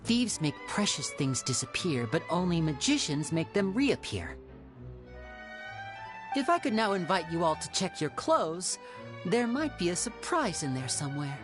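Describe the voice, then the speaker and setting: Suave, low-voiced boyish magician. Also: female voice, sing-song. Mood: smooth, showy. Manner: smooth, measured, showmanlike. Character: suave, theatrical young male stage magician. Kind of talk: anime game voice acting. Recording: music playing behind.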